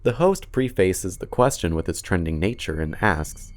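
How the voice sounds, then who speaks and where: A man with a low-pitched voice delivers scripted video commentary. His delivery is casual and conversational, with a dry, sarcastic edge. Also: expressive, moderate pace. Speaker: video essay host.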